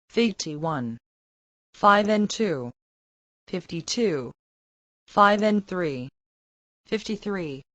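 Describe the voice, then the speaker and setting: A woman reading scripted language-drill phrases with deliberate, careful enunciation. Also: medium pitch, fairly bright tone, no echo. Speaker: English pronunciation model.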